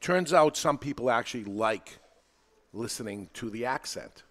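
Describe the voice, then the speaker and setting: A man's voice: medium pitch, expressive, moderate pace, a bit bright. A man with a New England accent hosting a live podcast in a studio, talking plainly and pushing each point with energy. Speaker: podcast host.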